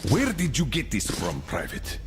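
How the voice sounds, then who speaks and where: A man playing a gruff, terse middle-aged military colonel giving urgent orders in a video game. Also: mid-range voice, wildly swooping pitch, normal speed, recorded from a distance. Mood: stern, subdued. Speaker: military commander. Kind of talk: video game cutscene acting. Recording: loud vehicle and storm noise behind.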